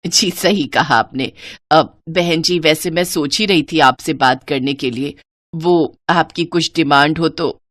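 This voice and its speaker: A woman's voice: sits low, measured pace, fairly bright tone, no echo, pitched below the performer's own voice. A woman voicing a caring, fussing middle-aged mother of grown daughters in a moral story, earnest in manner. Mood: polite. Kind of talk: Hindi moral story character acting.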